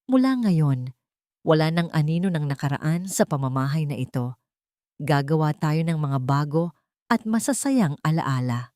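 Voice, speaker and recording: A hurt but gentle wealthy man, voiced by a woman narrator in a storybook drama. He speaks softly and sadly in a low voice that moves freely up and down. The sound is dry and close. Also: animated, moderate pace, no echo. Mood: tender.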